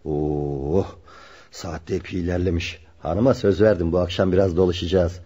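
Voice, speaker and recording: A helpful, plain-spoken carpenter friend in a radio drama. His voice is fairly low, dark and husky. He comes across as amiable. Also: steady tone, normal speed. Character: friendly married carpenter, the hero's helpful mate. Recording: dull lo-fi radio recording.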